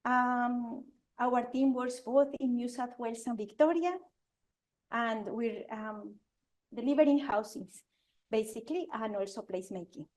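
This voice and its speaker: A woman's voice: on the high side, a little mellow, low-quality recording. Colombian-accented woman presenting to an audience. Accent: Colombian Spanish. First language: Spanish. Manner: earnest, halting, with restarts and fillers. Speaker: architect giving a talk. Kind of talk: conference presentation. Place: lecture venue with audience.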